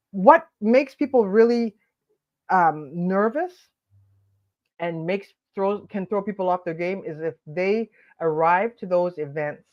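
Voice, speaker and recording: A woman's voice: lowish voice, highs cut off. A woman hosting a live stream, giving upbeat coaching tips and greeting viewers by name, her voice nasal and stuffed up from allergies. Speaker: host of a daily live stream on confidence.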